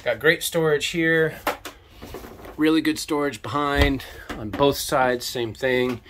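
A man in his fifties hosting a casual walkthrough tour of a sailboat, talking in a relaxed, enthusiastic way with lots of fillers, recorded on a handheld camera inside a small cabin. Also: on the high side, takes it slowly, noisy background. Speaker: boat tour host. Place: small boat cabin, indoors. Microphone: handheld camera mic at arm's length.

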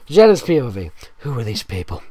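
A man's voice: medium pitch, huge pitch swings, a little fast, dark tone. A man reading fan fiction aloud in a dramatic style, with sarcastic, exasperated asides. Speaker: fanfic reader and commentator.

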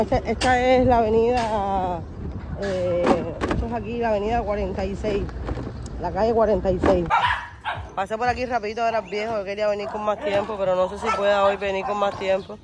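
Chatty Cuban woman vlogging outdoors. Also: medium pitch. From Cuba. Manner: chatty, addresses viewers as family. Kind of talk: casual vlog narration to viewers. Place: outdoors on city streets. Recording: noisy street recording. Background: street noise, dogs, vehicles.

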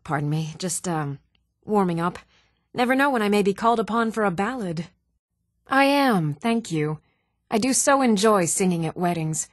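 An actress playing an even-toned, earnest young townswoman in a fantasy video game, with measured delivery. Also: medium pitch, dry recording, studio quality, lightly acted. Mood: polite. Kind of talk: video game character voice lines.

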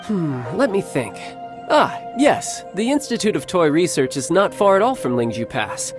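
Cocky young warrior guy, teasing and confident, lively swoopy delivery, game music behind. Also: high-pitched, normal speed. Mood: playful. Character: young adult male warrior lord, cocky and playful. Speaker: older brother. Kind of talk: video game voice acting. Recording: game soundtrack under the voice.

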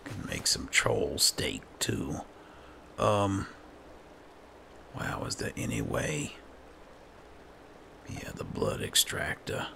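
Very deep gravelly man. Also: very animated, extremely slow, long pauses, pushed and bright. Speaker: gamer playing in character. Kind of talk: casual roleplay gameplay commentary. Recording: clear background game ambience noise.

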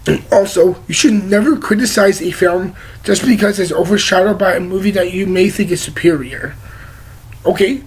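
Sick young man with a high, croaky voice. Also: low-quality recording. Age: young adult. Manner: rambling, gesturing a lot, blunt and swearing. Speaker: movie reviewer. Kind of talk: casual movie review vlog. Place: living room with leather couch, indoors. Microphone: webcam at desk distance.

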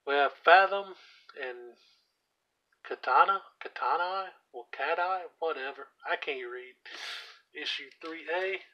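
A hoarse man casually walking through his comic book collection, reading out titles with offhand asides while he sits on the floor at home. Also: on the high side, takes it slowly, muffled recording. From US South. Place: indoors at home, seated on the floor by a shelf. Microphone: camera aimed at the shelf, speaker off screen.

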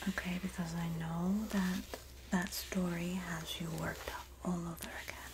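A woman playing a sassy, supportive Jersey girl in a soft-spoken, whispered ASMR roleplay, with a New Jersey accent and a gossipy, soothing manner. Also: on the low side, noisy background, natural voice. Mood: soothing, reassuring. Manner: gossipy, flattering, soothing, drawn-out delivery. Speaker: ASMR performer.

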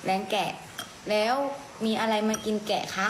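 Echoey room, background noise, woman, mid-pitched voice, coaxing questions, casual storytelling to a young child. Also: level tone, moderate pace. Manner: coaxing, question-led, with polite particles. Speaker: mother prompting her young child.

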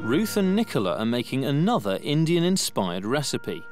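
A man narrates a documentary with a lively, rising and falling delivery. His voice is mid-pitched, and a clear music bed plays under it. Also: normal speed. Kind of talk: scripted narration.

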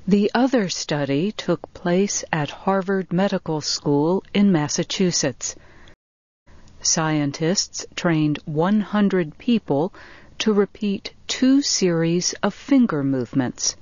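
A woman reading scripted narration for English learners, enunciating in a careful, measured way. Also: on the low side, darkish tone, no room sound. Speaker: reader of English learning material. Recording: dull, lo-fi compressed audio.

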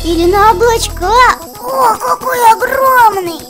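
A woman with an extremely high, childlike voice commentates a game for small children. She speaks in exclamations as if she were the characters, with prominent music behind her. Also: measured pace. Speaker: let's play host.

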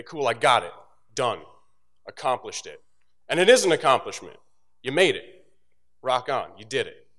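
A young man, an instructor, giving a casual, joking graduation speech from a podium microphone on an auditorium stage, with asides that drop low and quiet. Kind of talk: motivational commencement speech.

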